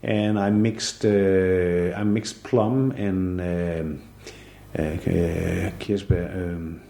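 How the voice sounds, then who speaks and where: A man in his sixties from southern Denmark, a native Danish speaker, talking in a relaxed interview with many pauses, fillers and restarts. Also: deep voice, vocal fry, reverberant. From southern Jutland, Denmark. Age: 60s. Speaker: artist interviewee.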